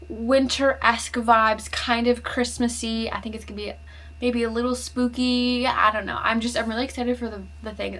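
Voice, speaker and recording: A woman's voice: fairly high pitch, a bit bright. A woman chatting casually to camera about books, rambling and full of filler words. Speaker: book vlogger. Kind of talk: casual book chat to camera.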